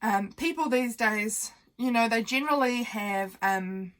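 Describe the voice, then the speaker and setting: A woman's voice: on the high side, unhurried, fairly bright tone. A young woman who sounds nasal from a cold, hosting a casual, meandering craft tutorial full of fillers while she works at her desk.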